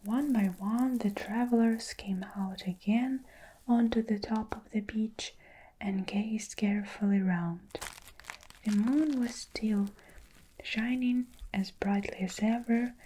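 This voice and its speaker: Softly whispering woman reading a story. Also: mid-range voice, slight background noise, low-quality recording. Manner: soft unvoiced whisper.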